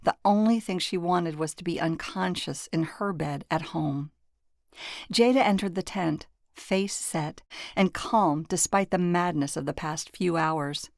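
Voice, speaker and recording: A woman's voice: on the low side, unhurried, no echo, studio quality. A woman reading scripted audiobook narration from a novel.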